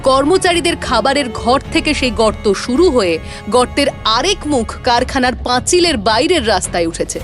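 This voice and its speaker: A woman playing an assertive, sharp-witted detective's associate in a cartoon mystery, explaining things firmly. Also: high-pitched, big pitch swings, normal speed, faint music behind. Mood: assertive, explanatory. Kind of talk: animated detective story acting.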